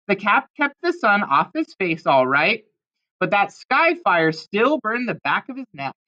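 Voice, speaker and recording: A woman's voice: medium pitch, sing-song, a little slow, pushed and bright, highs cut off. A woman reading a children's storybook aloud expressively, with dramatic emphasis on key words. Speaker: storybook reader.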